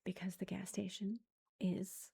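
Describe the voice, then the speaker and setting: A woman in her thirties, a mother, telling a story in a soft whisper, chatty and amused. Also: medium pitch, little pitch movement, measured pace, vocal fry, background noise.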